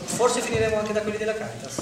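A man's voice: sits high, monotone, very fast, noisy background, lightly acted. An actor playing a nervous male office manager afraid of losing his job, in a stage play recorded from far off in an echoey theatre. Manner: nervous, defensive, jittery laughs. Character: anxious male office manager fearing layoffs. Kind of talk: theatre acting recorded for radio.

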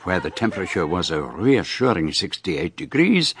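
An actor playing a courteous, inquisitive foreign detective with a French-speaking accent, formal and precise in a radio drama. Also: male voice, deep voice, sing-song, normal speed, over a noisy crowd. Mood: measured narration. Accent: French-speaking European. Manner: formal, precise, hesitant politeness. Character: courteous, inquisitive foreign male detective, middle-aged or older. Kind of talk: radio drama dialogue and first-person narration.

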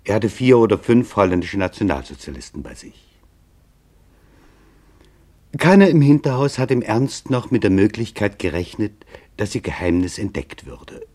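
A man narrating a documentary radio drama in a sober, deliberate, measured manner. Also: on the low side, sing-song, a little mellow.

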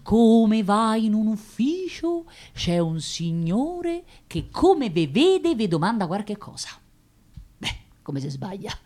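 A self-assured working-class fortune-teller gossips in thick Roman speech. She rambles colloquially and repeats herself for emphasis, in a theatrical radio monologue at a middling pitch. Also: very animated, slow pace, dark tone. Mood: knowing. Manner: colloquial, rambling, insistent repetitions.

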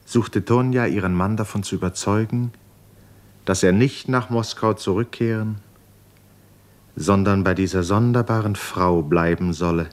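Male narrator for 1950s literary radio play, low register, serious and measured storytelling.

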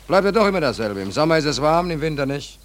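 An actor playing a down-and-out American sailor in a 1940s German radio play, weary, wry and stubborn, with colloquial, sardonic, rambling talk. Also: male voice, on the high side, very animated, fast talker. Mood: wry, unbothered.

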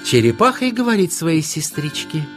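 A man's voice: a little high, sing-song, moderate pace. A man narrating a children's fairy tale playfully, acting out the laughter. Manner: playful, acts out laughter in the telling. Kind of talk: expressive storybook narration. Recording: music under the narration.

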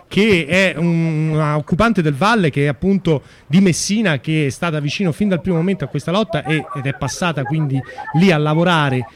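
A man's voice: a little high, a little fast, few pauses, a little hiss or hum. A man hosting a radio show, giving informal live news commentary and searching for his words. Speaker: radio host introducing and interviewing.

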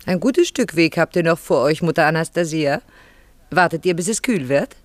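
A woman's voice: low-pitched, lively intonation, normal speed. An actress playing a shrewd, direct woman trader in a German radio play, with a questioning, businesslike manner. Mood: curious.

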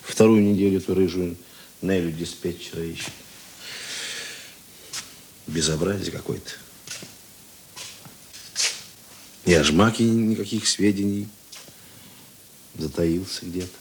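An actor playing a gruff, reserved Soviet police investigator in a radio play, speaking tersely and musingly. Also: male voice, low voice, moderate pace, long pauses, slight background noise, lightly acted. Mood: brooding, weary. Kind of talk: Russian radio play acting.

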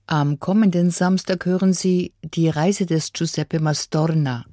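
A woman's voice: lowish voice, fairly even intonation, measured pace, mellow, dry recording, studio quality. A woman presenting a scripted programme preview on the radio.

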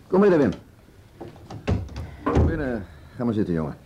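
An actor with a Netherlands Dutch accent playing a stubborn, firm and curt police commissioner in a naturalistic radio play. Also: male voice, medium pitch, sing-song, normal speed, background noise, close to an everyday voice. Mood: commanding. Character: stubborn, authoritative male police commissioner, short-tempered. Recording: muffled radio drama recording.

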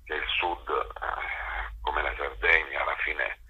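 A man's voice: very deep voice, little pitch movement, takes it slowly, breathy edge, phone-quality sound. A man, a theatre author and actor, talking at length as a guest in a radio interview, rambling with many fillers and restarts. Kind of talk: radio interview, discursive talk.

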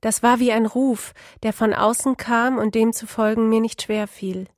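A woman's voice: on the high side, steady tone, normal speed, a little mellow, no echo, studio quality. A woman author reading her own literary prose in a narrative style.

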